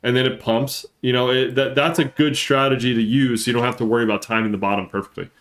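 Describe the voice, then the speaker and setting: A man's voice: medium pitch, fairly even intonation, fast talker, a bit bright. A man with a congested, stuffy-nosed voice, explaining things casually as a live-stream market analyst and repeating points for emphasis. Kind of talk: casual live chart commentary and trading lesson.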